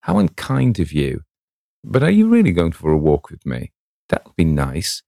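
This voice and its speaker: A man's voice: very deep voice, wildly swooping pitch, moderate pace, creaky, warm tone, dry recording, clean studio sound, full character voice. A man voicing an eager, lovestruck young woman in a storybook reading, gushing and breathless. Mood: teasing.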